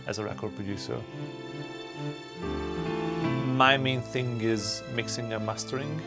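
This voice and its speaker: A man's voice: lowish voice, vocal fry. A man with a Hungarian accent, a record producer speaking earnestly in a documentary interview, with fillers and restarts. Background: music playing.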